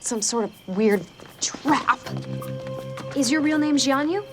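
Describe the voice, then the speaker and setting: A woman's voice: high voice, slow, loud music behind the voice. A woman in her thirties in a sitcom scene, talking bluntly and sarcastically. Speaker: sitcom character. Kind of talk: sitcom acting.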